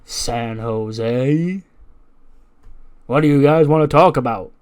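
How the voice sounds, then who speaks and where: A man acting out a resolute hero wrestler's promo speech, declamatory and deliberate, with dramatic pauses. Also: mid-range voice, darkish tone, smooth, lightly acted. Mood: solemn, resolute. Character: showman hero wrestler solemnly addressing an arena crowd.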